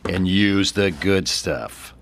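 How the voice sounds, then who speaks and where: An actor playing a cocky, scheming street drifter with swaggering, slangy talk in a radio drama. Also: male voice, low-pitched, slow pace. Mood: insolent, commanding.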